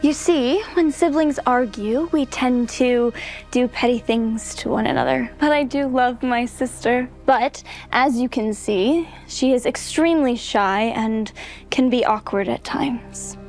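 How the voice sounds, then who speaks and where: A woman's voice: a little high, measured pace, a little mellow, lightly acted. An actress playing a vain, spoiled young noblewoman in an audio drama, speaking with affected sweetness over music. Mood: sugary. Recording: music under the voice.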